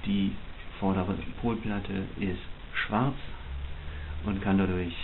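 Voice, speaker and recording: A man with a fairly low voice talks through an unboxing in an off-the-cuff way. He explains technical details like a hobby reviewer. The recording is narrow and phone-like, with some hiss. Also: flat delivery, takes it slowly. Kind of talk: spontaneous unboxing commentary. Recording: muffled phone-like sound, clear hiss.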